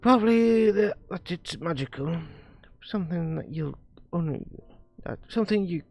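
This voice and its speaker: A man reading visual novel dialogue aloud on a live stream, haltingly, often stumbling over words and repeating phrases. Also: high voice, lively intonation, darkish tone, no room sound.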